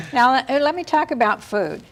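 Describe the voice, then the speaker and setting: A woman's voice: high-pitched, very animated, moderate pace, a bit bright, poor audio. A woman hosting a casual interview, asking friendly questions. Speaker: interview host.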